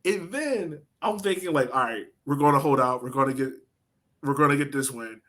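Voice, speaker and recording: A man's voice: mid-range voice, expressive, moderate pace, a bit bright. A man co-hosting a soccer podcast, talking in an informal, slangy way and telling stories casually. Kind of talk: casual sports chat.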